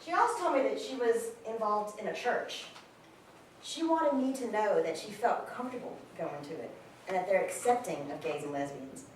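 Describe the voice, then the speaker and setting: A woman playing a candid, warm-hearted friend in a casual monologue at a staged reading. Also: medium pitch, expressive, moderate pace, natural voice. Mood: fond, candid. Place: live staged reading in a large room. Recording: very echoey hall, distant mic, faint noise.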